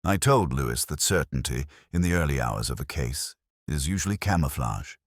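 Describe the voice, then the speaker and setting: A man playing a brooding, world-weary police inspector, narrating a mystery in measured, literary, ruminative first person. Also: rumbling low, animated, dry recording, clean studio sound. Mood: brooding, reflective.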